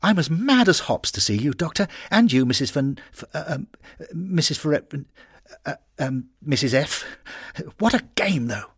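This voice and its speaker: A man's voice: normal speed, breathy edge, no room sound, studio quality. A man putting on an eager young skipper. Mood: excited. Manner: excited, raised and higher-pitched. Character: young male team skipper, eager and rousing.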